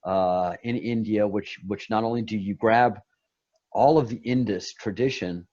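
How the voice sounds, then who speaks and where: Man, low voice, rambling spiritual chat over a muffled call line, restarts and repeats. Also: a little slow, mellow. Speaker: guest on a meditation talk.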